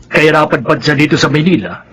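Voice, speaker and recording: A man's voice: fairly high pitch, a little fast, fairly bright tone, slight background noise. A man acting a middle-aged, fair-minded father and head of the household in a radio drama. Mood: considerate.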